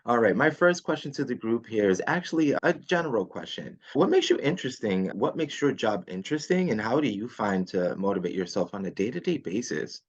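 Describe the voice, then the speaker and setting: A man's voice: on the low side, animated, fairly quick, few pauses. A man moderating a panel, with brief, encouraging, upbeat reactions. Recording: slightly dull lo-fi sound.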